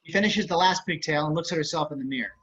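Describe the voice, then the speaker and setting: A man's voice: fairly high pitch, rapid-fire, a bit bright, a little hiss or hum. A man reading stage and screen directions aloud as scripted narration. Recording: muffled online call audio.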